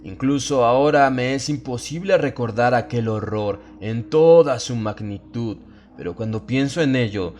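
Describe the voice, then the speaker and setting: Low-voiced male horror narrator over prominent music. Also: a little mellow. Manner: ominous, theatrical, measured delivery with drawn-out phrases. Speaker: audiobook narrator. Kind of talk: dramatized horror audiobook narration. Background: atmospheric music bed.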